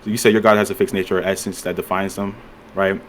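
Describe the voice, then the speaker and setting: A man's voice: sits low, little pitch movement, fast. A man moderating a voice-chat debate, hesitant and full of fillers as he clarifies the arguments.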